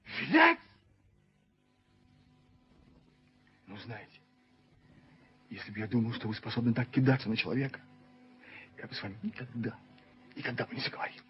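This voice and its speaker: An actor playing an earnest, evasive young fiancé in a radio play, halting and repeating himself, stubborn and easily flustered. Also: male voice, mid-range voice, big pitch swings, a little hiss or hum, natural voice. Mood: offended, flustered. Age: young adult. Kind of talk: Soviet radio play acting. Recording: dull, muffled 1970s radio recording.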